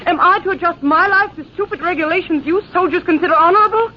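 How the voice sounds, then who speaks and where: A slightly hissy, dull-sounding vintage radio recording. a haughty young woman with a high voice, proud and formal in the melodramatic radio style. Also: fast talker. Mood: indignant. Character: proud, beautiful young woman, indignant at a jealous suitor. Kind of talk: 1940s radio drama acting.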